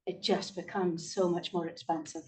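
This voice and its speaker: A woman's voice: on the low side, little pitch movement, normal speed, warm tone, distant mic. A woman in a panel discussion posing reflective questions.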